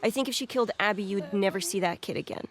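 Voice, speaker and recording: A woman with a mid-pitched voice gives casual developer commentary on game scenes. She is earnest and analytical as she explains. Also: fast talker.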